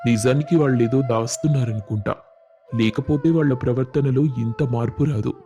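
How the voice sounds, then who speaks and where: A man voicing an older village family elder, measured and reproachful, in a moral story cartoon. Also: medium pitch, warm tone, muffled recording, faint music behind, lightly acted. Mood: reflective.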